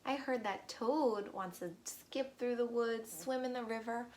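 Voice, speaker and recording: A woman reading a storybook aloud to young children, expressive and pausing to ask the listeners questions. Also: fairly high pitch, slight background noise.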